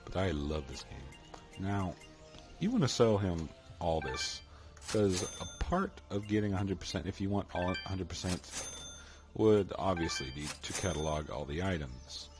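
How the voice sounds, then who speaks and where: A young man with a deep voice giving easygoing commentary while playing a video game, sounding flat even when enthusiastic. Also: unhurried. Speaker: let's play gamer. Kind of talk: casual gameplay commentary. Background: loud game music.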